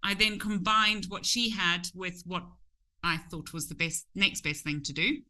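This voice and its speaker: A woman's voice: on the low side, fairly even intonation, measured pace, sharp tone. A middle-aged woman from Zimbabwe with a Zimbabwean accent, chatting openly as an interview guest. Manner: open and chatty, some restarts. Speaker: tour company owner, guest.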